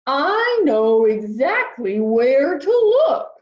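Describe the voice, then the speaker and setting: A woman acts out a huge, kindly storybook whale, eager to help. The words are stretched very slowly and emphatically, fairly high in pitch, in an echoey room. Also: very animated, heavily acted. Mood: helpful, confident. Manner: drawn-out, booming emphatic delivery. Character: large, friendly, helpful male blue whale. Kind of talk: children's storybook character voice. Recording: roomy echo, slightly dull sound.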